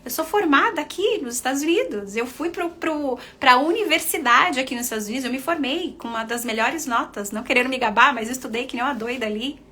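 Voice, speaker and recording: A woman's voice: sits high, quick pace, hardly any pauses, pushed and bright, husky. A middle-aged Brazilian woman with a Brazilian Portuguese accent, a confidence coach chatting casually to her viewers, addressing them as friends. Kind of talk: casual livestream talk to followers.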